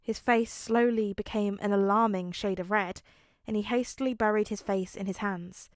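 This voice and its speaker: A woman's voice: mid-range voice, steady tone, normal speed, dry recording, clean studio sound. A woman reading a fan story aloud as an audiobook, narrating expressively and voicing the dialogue. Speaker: podfic reader.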